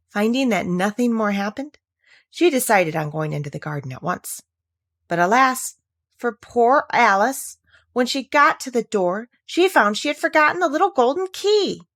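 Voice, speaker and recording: Female storybook narrator, mid-pitched with lively intonation, touches of vocal fry, expressive scripted read, dry close sound. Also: no room sound. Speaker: audiobook narrator.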